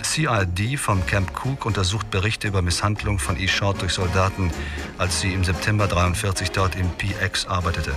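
An actor voicing a police report read out in a dry, matter-of-fact way. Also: male voice, deep voice, fairly even intonation, normal speed, natural voice. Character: male officer reading a police report. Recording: music under voice.

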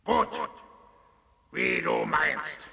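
An actor playing a menacing, boastful giant stone knight in a children's radio play, with a gravelly, forceful voice and a slow, taunting delivery. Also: male voice, a little high, animated, full character voice. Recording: cavernous long reverb.

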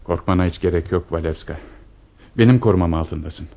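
A man's voice: low-pitched, fairly quick, creaky, mellow. A man playing an ardent, intense officer in earnest, declamatory radio theater style. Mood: insistent, protective. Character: lovestruck, intense male officer in a wartime romance.

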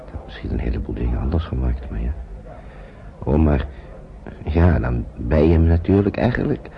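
A man acting a gentle older father in an old radio drama, speaking haltingly and soothingly, on a muffled, noisy recording. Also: rumbling low, animated, vocal fry, heavily acted. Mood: gently probing. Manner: halting, repeats words, soothing. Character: gentle older father shepherding children through wartime France.

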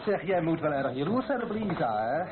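A man's voice: fairly high pitch, big pitch swings, brisk, music in the background. An actor playing a stubborn, teasing, affectionate husband who has lost his legs in a naturalistic radio play. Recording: noisy old radio play, tinny narrow band.

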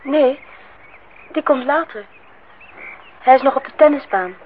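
An actress playing a lively young woman in a radio play, direct and chatty yet polite. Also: sits high, a little slow. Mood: matter-of-fact. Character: lively, frank young woman visiting an older acquaintance. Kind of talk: Dutch radio play acting. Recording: noisy, tinny old radio recording.

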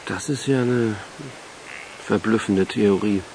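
An actor playing an assertive, blunt police major who takes charge, in a German radio crime drama. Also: male voice, lowish voice, slow pace, low-quality recording. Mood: dry interest.

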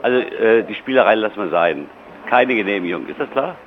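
Stern man, rapid speech on a muffled phone. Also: fairly low pitch, lively intonation. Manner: stern, official, stumbling over words. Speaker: railway barrier attendant. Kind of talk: phone argument. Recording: dull phone call with faint noise.

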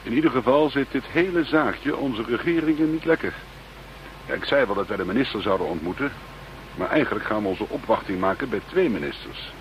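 A man's voice: mid-range voice, lively intonation, brisk, lo-fi sound, lightly acted. Hearty Dutch police chief played by a man. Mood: businesslike. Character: genial Dutch chief police inspector welcoming colleagues. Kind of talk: radio drama acting. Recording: faint background noise, old radio play.

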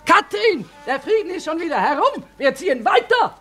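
An actress playing a shrewd, hard-bitten middle-aged trader woman in a radio play, earthy and brisk. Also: very high-pitched, very animated, a bit bright, faint music behind, full character voice. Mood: urgent. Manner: brisk, earthy, colloquial talk. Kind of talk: German radio play acting.

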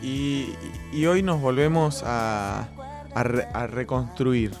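Man, twenties, Argentine accent, mid-pitched, casual radio co-host, light music behind. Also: fairly even intonation, slow pace. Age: 20s.

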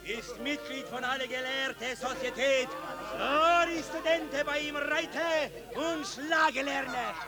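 A man's voice: extremely high pitch, takes it slowly, heavily acted. An actor playing a fairground showman in a radio drama, declaiming in broken bursts as he presents a learned animal. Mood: showy, haranguing. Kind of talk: theatrical radio drama acting. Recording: 1950s radio drama, music behind.

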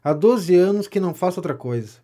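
An actor playing a vain, self-important gentleman pamphleteer in a Brazilian audio drama comedy, declaiming pompously and flattering as he goes. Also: high voice, brisk. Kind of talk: audio drama of a 19th-century stage comedy.

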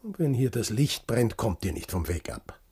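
An actor playing a brusque, mocking sailor in a radio drama. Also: male voice, mid-range voice, big pitch swings, moderate pace, dark tone, exaggerated voice. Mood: sarcastic, dismissive. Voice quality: husky. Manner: gruff, sarcastic. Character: brusque, mocking sailor living alone in a hut.

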